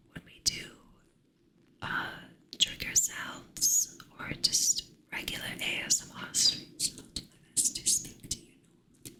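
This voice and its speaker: A woman whispering softly in a playful way as she chats with her live-stream audience. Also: poor audio. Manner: soft whisper, playful with chat; slow, drawn-out delivery. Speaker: ASMR streamer.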